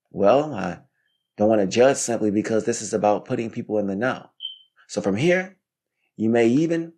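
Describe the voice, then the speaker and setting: Low-voiced man hosting a podcast. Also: darkish tone. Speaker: podcast host. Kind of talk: scripted solo podcast narration.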